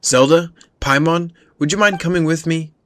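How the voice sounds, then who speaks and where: A man's voice: on the high side, moderate pace, a bit bright, pitched above the performer's own voice, natural voice. A man reading game dialogue as a caring, worried young patrol woman, earnest and polite. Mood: worried, requesting.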